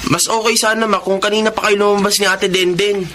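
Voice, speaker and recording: A man's voice: sits high, level tone, fairly quick, pushed and bright, a little hiss or hum. A man playing an impatient, cheeky young brother in a Filipino radio drama. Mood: teasing, placating.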